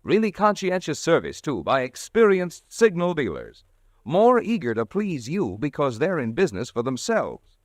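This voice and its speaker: A man's voice: fairly high pitch, normal speed, no room sound. A man reading a sponsor's commercial as a 1940s radio announcer, in a salesman-like manner. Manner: lively, salesman-like. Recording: dry old radio broadcast.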